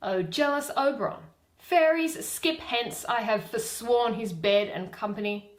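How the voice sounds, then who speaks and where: A woman's voice: fairly high pitch, measured pace, roomy sound, natural voice. A woman performing a proud, scornful fairy queen in haughty theatrical verse.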